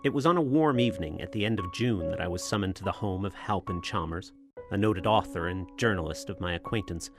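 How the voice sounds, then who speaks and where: A skeptical gentleman of formal, literary bearing in a radio suspense drama. His low voice has a gravelly creak and moves in measured phrases, with faint music behind it. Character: skeptical, formal gentleman summoned as friend and narrator. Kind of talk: old-time radio suspense drama acting.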